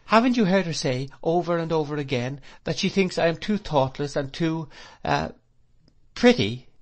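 Male reader as a plain-spoken, stubborn servant woman; grumbling, slightly raised pitch. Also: lively intonation, normal speed, natural voice. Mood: grudging.

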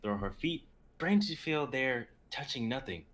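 A man's voice: mid-range voice, expressive, measured pace, fairly bright tone. A man in his twenties narrating a drama script, sometimes stumbling over the text, on home video-call audio. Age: 20s. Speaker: narrator reading stage directions.

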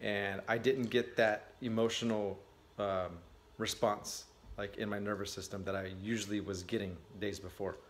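A man's voice: lowish voice, level tone, moderate pace, slight background noise. A man in his thirties vlogs into a handheld phone in a cluttered garage. He talks in a loose, candid ramble, stopping and restarting his sentences with plenty of fillers. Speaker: vlogger. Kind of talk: casual vlog. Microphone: phone at arm's length.

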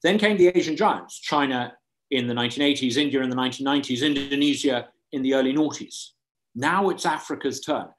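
A man's voice: medium pitch, brisk, slight background noise. A middle-aged man from Uganda making persuasive panel remarks over a video call, earnest and emphatic, repeating words for stress. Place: indoor room, video call. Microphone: video call microphone.

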